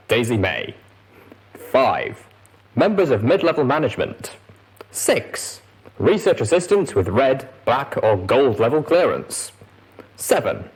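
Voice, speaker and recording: Man as an officious corporate announcer, swooping pitch. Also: a little mellow, slightly rough, rough recording. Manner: formal announcing with exaggerated emphasis. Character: officious corporate memo announcer, stiffly formal and upbeat.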